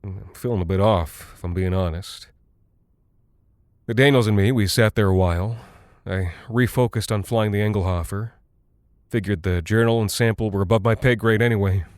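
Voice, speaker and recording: Weary American military pilot , played by a male actor. Lowish, dry close sound, folksy and rattled, halting confessional monologue for audio drama. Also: a little mellow, no room sound, natural voice. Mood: uneasy, confiding. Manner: halting, confiding, colloquial. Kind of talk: first-person audio drama monologue, audio log.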